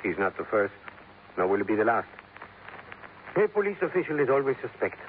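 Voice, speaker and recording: Actor as formal Spanish-accented police captain, old radio. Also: male voice, mid-range voice, sing-song, narrow, tinny audio, natural voice. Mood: grave, resigned. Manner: formal, measured, deliberate. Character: calm, stubborn, principled South American police captain. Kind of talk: 1950s radio drama acting. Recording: noisy, thin 1950s radio recording.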